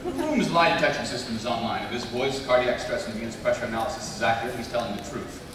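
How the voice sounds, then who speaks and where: An actor playing an earnest secret agency leader in a formal, emphatic live script reading. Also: male voice, medium pitch, expressive, fast talker, natural voice. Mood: earnest, authoritative. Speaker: actor at a live table read. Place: large convention panel hall. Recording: echoey hall, distant mic, noisy.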